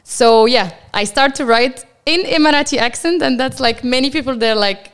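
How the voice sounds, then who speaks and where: A woman from Morocco, a journalist and TV presenter whose first language is Arabic, telling her story as an interview guest with lively acted-out quotes. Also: high-pitched, moderate pace, clear, resonant tone. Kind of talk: casual interview chat.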